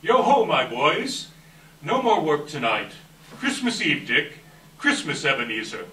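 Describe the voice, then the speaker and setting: Jolly old employer, played by a man, booming to his lads, forceful delivery, recorded distant and roomy in a stage reading. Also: sing-song, a little slow, exaggerated voice. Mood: jovial, commanding. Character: jovial, hearty old warehouse master Fezziwig, rich-voiced. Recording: echoey hall from far off, faint noise.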